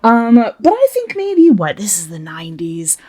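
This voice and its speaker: A woman's voice: medium pitch, wildly swooping pitch, normal speed, dark tone. A woman chatting casually as a player in a tabletop game, thinking aloud in a hesitant, giggly way. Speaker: player in a tabletop roleplay podcast.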